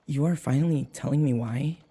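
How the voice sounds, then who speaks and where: A man's voice: medium pitch, fairly quick, warm tone, breathy edge. A man playing an anxious human servant held captive by a vampire mistress in an audio roleplay, hesitant and stammering. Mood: flustered, anxious. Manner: hesitant, stammering, anxious, with sighs and muttered asides. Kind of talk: audio roleplay acting, spoken to the listener.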